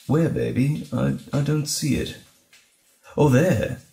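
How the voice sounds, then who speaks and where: Gentle, caring boyfriend played by a man, echoey. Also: medium pitch, big pitch swings, vocal fry, heavily acted. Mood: patient, puzzled. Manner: soothing, tender, lightly teasing, halting. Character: gentle, caring boyfriend comforting frightened partner. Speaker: audio roleplay performer. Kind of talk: intimate audio roleplay acting.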